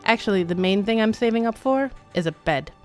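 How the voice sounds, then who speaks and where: A woman's voice: mid-range voice, animated, brisk, soft background music. A woman giving casual live-stream game commentary, rambling as she thinks aloud, with wry asides.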